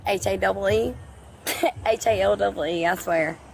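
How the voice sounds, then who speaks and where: Flustered young woman, gravelly. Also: medium pitch, normal speed, a little hiss or hum. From Georgia, USA. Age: 20s. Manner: flustered, rambling, repeats herself. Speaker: college student being questioned. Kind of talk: nervous exchange with police. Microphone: officer's bodycam. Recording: bodycam audio with loud music behind.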